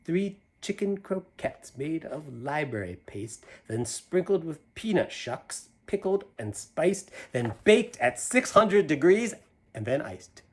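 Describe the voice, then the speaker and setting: Male storybook reader, higher-pitched voice, very animated delivery, playful and theatrical, with chatty asides. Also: slow. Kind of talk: animated read-aloud of a rhyming children's storybook with chatty asides.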